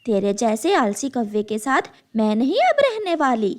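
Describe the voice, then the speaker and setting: A woman voicing a hardworking, indignant little female bird in a children's cartoon, earnest and quick to scold. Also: fairly high pitch, big pitch swings.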